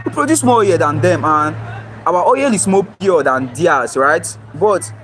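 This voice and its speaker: A man's voice: fairly high pitch, lively intonation, measured pace, fairly bright tone, soft background music. A young Nigerian man with a Nigerian English accent, livestreaming casually from his phone outdoors near his home, reading viewer comments aloud and answering them. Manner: reads viewer comments aloud and answers them, often asks "you understand?". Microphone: phone camera, flipped to show surroundings.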